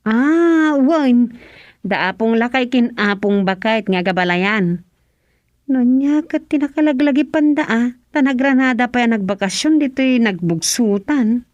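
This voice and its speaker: A woman's voice: on the high side, lively intonation, unhurried, dry recording, muffled recording. A woman playing an inquisitive, no-nonsense village woman in an Ilocano radio comedy drama.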